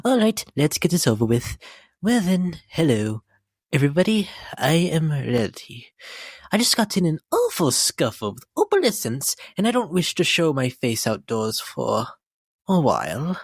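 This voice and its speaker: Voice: medium pitch, huge pitch swings, normal speed, slightly rough, no echo, studio quality, heavily acted. A performer voicing a vain, lovesick, unhinged lady pony in a dramatic reading, with a prim, theatrical, affected delivery. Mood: prim, shaken. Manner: theatrical, genteel, fussy, affected, swooping. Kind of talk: dramatic reading of a fan-made character blog. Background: dramatic instrumental music.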